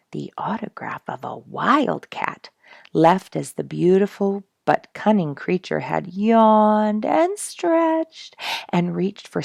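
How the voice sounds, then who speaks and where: A woman reading a nature storybook aloud in an expressive, measured, dramatic storytelling manner. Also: lowish voice, vocal fry, no echo, studio quality. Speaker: audiobook reader and show host.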